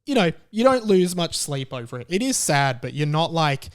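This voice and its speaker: A man giving a casual opinion monologue like a podcast host, conversational and halting, with emphatic stresses on key words. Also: on the high side, very animated, smooth.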